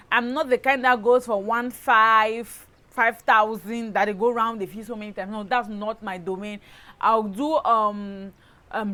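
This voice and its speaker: A woman with a Cameroonian accent telling a personal story in a casual vlog style, animated and emphatic, often checking in with the listener. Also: fairly high pitch. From Cameroon, southwest region. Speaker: lifestyle YouTuber, host.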